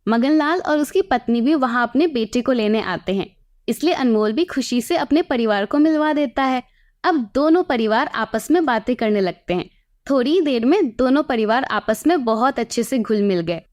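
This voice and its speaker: A woman's voice: fairly high pitch, lively intonation, normal speed, a little mellow. A woman reading a scripted moral tale as its storyteller.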